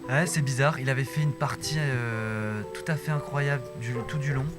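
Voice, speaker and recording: A man's voice: mid-range voice, fairly even intonation, moderate pace. A man giving live poker tournament commentary, exclaiming and repeating his phrases. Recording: music playing over the commentary. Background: loud music.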